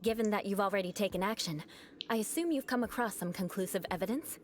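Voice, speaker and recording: A woman's voice: mid-range voice, fast, natural voice. A woman voicing a cool aloof knight noblewoman. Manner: cool, composed, dry. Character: proud aloof young noblewoman knight, cool and sharp-tongued. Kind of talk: video game voice acting.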